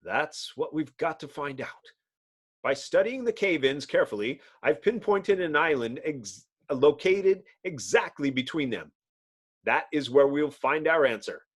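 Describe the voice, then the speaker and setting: A man's voice: on the high side, very animated, a little slow. A man voicing a commanding scientist hero in a comic book, explaining things earnestly and decisively. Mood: intent, decisive. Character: brisk, commanding male scientist hero, team leader.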